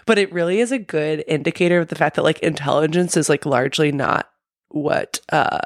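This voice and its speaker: A woman's voice: deep voice, brisk, no room sound, studio quality. A young woman with a slight lisp and a congested, sniffly voice, co-hosting a podcast and rambling casually with lots of fillers and laughs. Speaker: podcast co-host. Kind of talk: casual recap chat.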